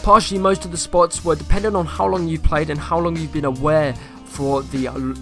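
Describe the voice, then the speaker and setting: A young man YouTuber with a slightly croaky voice, rambling through casual gameplay commentary and asking viewers questions. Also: on the high side, fairly even intonation, a little fast, music in the background. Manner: rambling, self-correcting, asks viewers questions.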